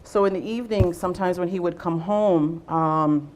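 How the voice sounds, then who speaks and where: A young adult woman from Southwestern Ontario leading a workshop, speaking loudly to a room without a microphone and often pausing on "um" and "uh". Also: lowish voice. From Southwestern Ontario, Canada. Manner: loud voice, unamplified, frequent ums and uhs. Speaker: workshop facilitator, nurse navigator. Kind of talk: presentation to a workshop audience.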